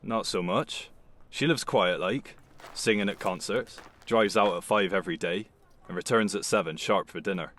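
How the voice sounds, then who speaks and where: A man's voice: lowish voice, moderate pace, a bit bright. A man playing a blunt, cheeky young coachman with a working-class London accent in an audio drama. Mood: gossipy. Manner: blunt, colloquial. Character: young male coachman, cheeky, gruff, loyal servant.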